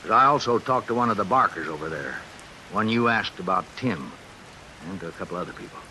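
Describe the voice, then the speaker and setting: Low-voiced, wry American sheriff. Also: male voice, animated, sharp tone, exaggerated voice, low-quality recording. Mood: dry reproach. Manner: folksy, dry, unhurried needling. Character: weary, wry small-town sheriff, fatherly but needling. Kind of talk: 1940s American radio drama acting. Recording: hissy old radio recording with rain.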